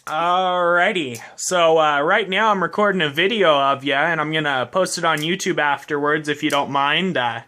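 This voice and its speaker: A man with a fairly high, bright, forward voice talks casually as a ham radio operator. His delivery is conversational and informal, captured on a home video of the radio exchange. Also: moderate pace, few pauses.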